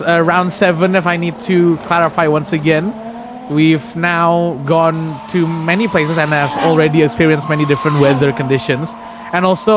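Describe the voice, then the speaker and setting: A man's voice: on the high side, background noise. A man from Indonesia doing live sim racing commentary from his home, rambling and correcting himself with many fillers. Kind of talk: live remote race commentary.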